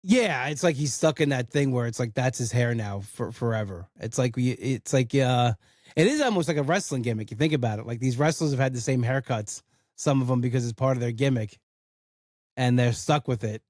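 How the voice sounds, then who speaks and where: A man's voice: mid-range voice, expressive, a little fast, darkish tone, dry recording, clean studio sound. A man in his forties who grew up in an Italian household, hosting a podcast in casual, rambling chat. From Italian-American household. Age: 40s. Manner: rambling, full of "like" and restarts. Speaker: podcast host.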